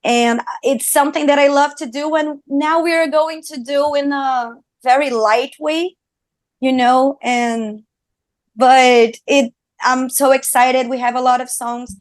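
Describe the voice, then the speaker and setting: A woman's voice: high-pitched, fairly even intonation, a little slow, dry recording. A young woman from Brazil with a Brazilian Portuguese accent, a singer and streamer chatting as a guest in an interview, upbeat and full of fillers and restarts. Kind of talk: casual interview chat.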